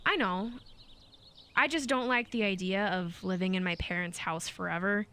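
Radio play young mother: bright tone, mid pitch, polite, practical, a little hesitant. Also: normal speed.

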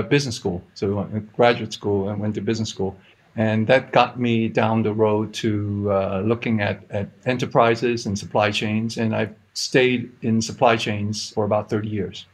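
A man in his fifties from Hong Kong with an American accent, a guest answering interview questions in a halting way, with many restarts and repeated words. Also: mid-range voice. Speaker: guest, research institute CEO.

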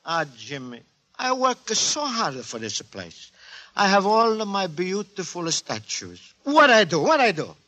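An actor playing a naive, cheerful Italian immigrant antique dealer in broken English with an Italian accent, earnest and expressive, in 1940s radio comedy style. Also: male voice, sits high, a little slow, exaggerated voice. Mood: pleading, dramatic. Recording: 1940s radio recording, live studio audience.

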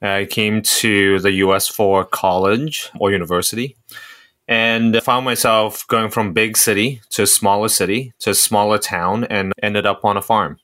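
A man's voice: on the low side, little pitch movement, a little slow, fairly bright tone. A man from Singapore, a guest on a radio interview, talking casually and thoughtfully. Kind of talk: casual conversation.

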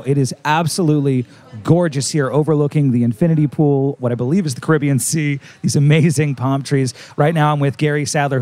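A man's voice: fairly high pitch, a little mellow. A man hosting a radio show on location outdoors by a pool, upbeat and enthusiastic as he interviews a guest. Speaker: radio host.

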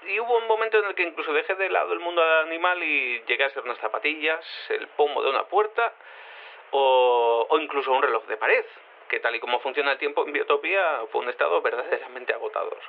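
A man's voice: a little high, quick pace. An actor playing a confused male cat turned human, hesitant and stammering, rambling as an interviewee in an audio drama over a telephone line. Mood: confused, explanatory. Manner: hesitant, stammering, self-contradicting. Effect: telephone filter. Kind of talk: audio fiction acting as an interviewee. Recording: tinny phone line with noise.

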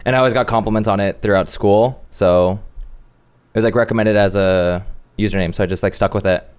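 A man's voice: fairly low pitch, fairly even intonation, a little fast, darkish tone, clear, resonant tone. A man chatting casually as a podcast guest, talking loosely with lots of filler "like". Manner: loose, peppered with "like". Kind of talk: casual chat.

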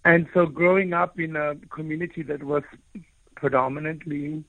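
Man with a gravelly voice on a tinny line. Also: a little high, steady tone, moderate pace, dry recording. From South Africa. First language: Afrikaans. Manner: earnest, searching for words, frequent restarts. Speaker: author and guest. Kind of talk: reflective personal storytelling.

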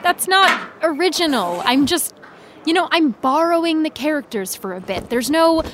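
A woman playing a guarded, self-deprecating high school senior in naturalistic podcast drama, with wry, defensive chatter. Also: expressive, moderate pace, a bit bright, a little hiss or hum. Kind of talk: naturalistic fiction podcast acting.

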